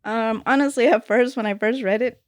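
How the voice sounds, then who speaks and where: A woman's voice: a little high, steady tone, fairly quick, no echo, studio quality. A woman guest on a casual podcast, speaking hesitantly with many fillers as she thinks aloud. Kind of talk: casual conversation.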